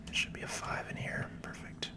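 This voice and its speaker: A person whispering gentle, unhurried, conversational ASMR commentary while playing a video game, close to the microphone. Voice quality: soft breathy whisper with creaky edges.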